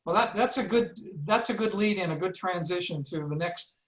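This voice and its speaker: A man moderating a panel discussion on a video call, speaking haltingly and repeating words. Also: sits high, a bit bright, reverberant. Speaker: seminar moderator. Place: indoors, online video seminar.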